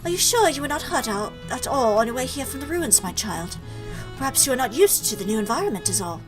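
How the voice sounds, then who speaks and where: A woman voicing a caring, motherly goat monster from a visual novel, gentle, concerned and formal in her wording. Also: high-pitched, lively intonation, fast, over music. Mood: worried, relieved. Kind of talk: visual novel dialogue read aloud.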